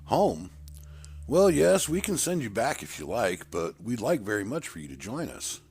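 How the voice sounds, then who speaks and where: A man acting out a commanding older-brother underground city boss, pitched up. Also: big pitch swings, moderate pace, darkish tone, slight background noise. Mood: pleased, firm. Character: brisk, authoritative elder brother running an underground city.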